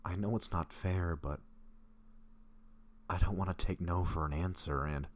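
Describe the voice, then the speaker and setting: Male actor for lovestruck, gentle vampire in audio roleplay; rock-bottom pitch, halting breathy delivery, some noise behind the voice. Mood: earnest, longing. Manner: hesitant, breathy murmurs, halting phrases. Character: gentle, apologetic lovestruck young male vampire, shyly hungry.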